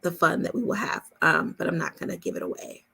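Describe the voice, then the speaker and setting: A woman speaking informally and directly to a group as a coach hosting an online chat, with a creaky voice. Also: lowish voice, fast, sharp tone. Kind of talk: casual live chat with attendees.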